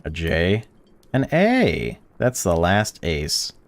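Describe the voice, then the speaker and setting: A man hosting a gaming channel, reading a visual novel's text aloud while playing and breaking off into excited or indignant reactions. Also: low-pitched, takes it slowly, vocal fry, no echo. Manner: reads game text flatly, then blurts excited or indignant reactions.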